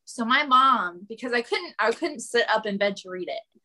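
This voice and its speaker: A woman's voice: fairly high pitch, animated, moderate pace, pushed and bright, rough recording. A woman guest on a podcast, chatting casually in a candid way. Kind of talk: casual chat.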